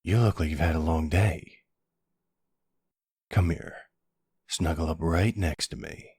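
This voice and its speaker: Man as charming mob boss boyfriend, very low husky voice, slow tender delivery, dry close ASMR roleplay. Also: a little mellow, dry recording, exaggerated voice. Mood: tender, apologetic. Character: charming male mobster boyfriend, tender and soothing.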